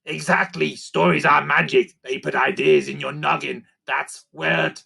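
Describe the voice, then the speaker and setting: A man voicing Grunt, a grumpy male creaker creature who hisses and barks in broken grammar. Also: a little high, unhurried, pushed and bright, heavily acted. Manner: hissing, barking, broken grammar.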